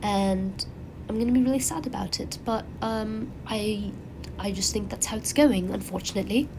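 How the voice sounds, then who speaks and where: A woman with a cold, her voice raspy and congested, chatting casually and rambling animatedly as the host of her own channel. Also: mid-range voice, moderate pace, noisy background. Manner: rambling, animated, frequent fillers and restarts.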